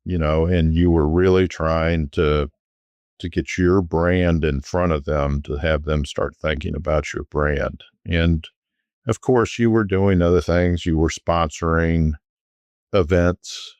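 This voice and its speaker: A middle-aged man, a guest recalling his years selling private jets, talking in a relaxed, rambling way with frequent restarts. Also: low-pitched, slow pace, dry recording. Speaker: guest, former private jet sales executive. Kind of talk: conversational storytelling.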